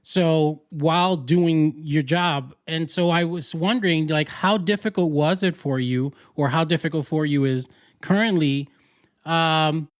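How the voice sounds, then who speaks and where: A man with a somewhat high voice hosts an interview show in a conversational style. The sound is close and dry, with hardly any room to it. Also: no room sound. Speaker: talk show host and interviewer.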